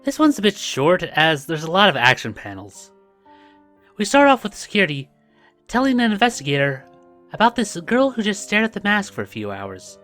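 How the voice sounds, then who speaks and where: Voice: mid-range voice, fairly bright tone. A video host narrating a comic recap in a scripted but casual style, with asides along the way. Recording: music behind the voice. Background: background music.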